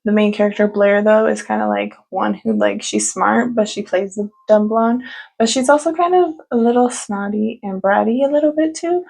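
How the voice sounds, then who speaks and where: A woman's voice: medium pitch, gravelly, a little mellow, rough recording. A woman book vlogger chatting casually about her reading, rambling with lots of fillers. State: stuffy from allergies. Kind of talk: casual book update chat.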